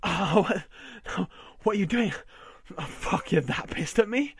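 A man voicing a domineering, possessive husband in an amateur audio roleplay, coarse and swearing, often dropping to a breathy whisper. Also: mid-range voice, fairly even intonation, takes it slowly, no room sound. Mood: panicked.

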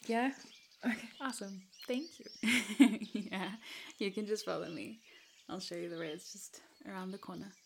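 A South African woman in her twenties whose first language is Afrikaans. She chats to a follower in a giggly, hesitant, soft-spoken roleplay and sometimes drops to a whisper. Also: mid-range voice, moderate pace, gravelly, a little hiss or hum. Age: 20s. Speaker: content creator addressing a follower. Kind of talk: roleplay chat to the listener.

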